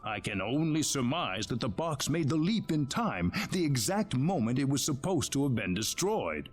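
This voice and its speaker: Man playing a serious researcher, expressive pitch. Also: close to an everyday voice. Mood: solemn explaining. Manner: measured, expository. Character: serious, knowledgeable researcher man, gently explaining. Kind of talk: video game dialogue acting.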